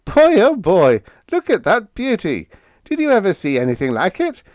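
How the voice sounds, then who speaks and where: Sci-fi audiobook sidekick, voiced by a man: enthusiastic male engineer, pitched up, animated, jokey. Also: moderate pace, no room sound. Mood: awed excitement. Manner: exclamatory, boyish enthusiasm. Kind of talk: audiobook character dialogue.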